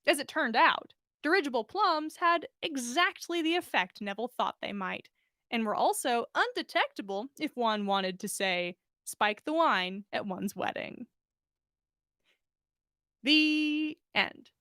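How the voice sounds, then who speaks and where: High-voiced woman hosting a story livestream. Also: expressive, a little slow, pushed and bright, dry recording, clean studio sound. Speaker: podcast host and story reader. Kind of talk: fan fiction reading and casual livestream chat.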